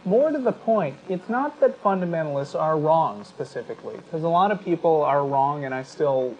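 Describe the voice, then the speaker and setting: A man with an American accent speaks as a talk show host, making an argument conversationally and often pausing mid-sentence. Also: a little high, animated, a little mellow, muffled recording, slight background noise.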